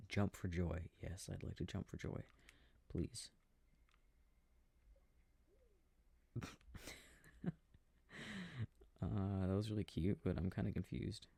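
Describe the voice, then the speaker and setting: Affectionate boyfriend, played by a man, for sleep-aid ASMR roleplay: breathy, gravelly, soft rambling murmur, very close and dry. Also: sits low, no room sound, full character voice. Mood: quietly delighted. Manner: murmured, rambling, gently bemused. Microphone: close microphone.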